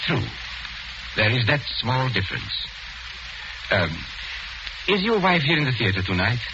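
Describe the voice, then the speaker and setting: An actor playing a suave, theatrical stage magician with wry, morbid humour in 1940s radio mystery style. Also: male voice, mid-range voice, sing-song, unhurried. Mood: wry, amused, then courteous. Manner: urbane, theatrical, quoting grandly. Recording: muffled, noisy 1940s radio recording.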